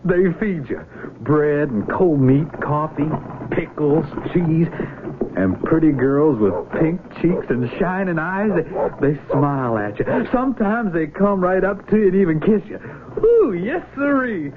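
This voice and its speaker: An actor playing an eager, naive young Civil War recruit with a folksy, stammering drawl, heard on a tinny old radio broadcast. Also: male voice, fairly high pitch, big pitch swings, unhurried, background noise. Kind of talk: 1970s radio drama acting.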